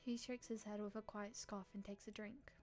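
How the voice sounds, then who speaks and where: Expressive woman streaming a visual novel. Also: mid-range voice, normal speed, faint music behind. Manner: playful, expressive. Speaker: game streamer. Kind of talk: live stream chat and read-aloud narration.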